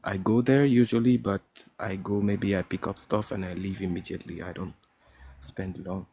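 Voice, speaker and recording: A soft-spoken man from Cameroon, calling in and talking hesitantly with frequent restarts. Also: deep voice, fairly even intonation, normal speed, creaky, breathy edge. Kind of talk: casual chat.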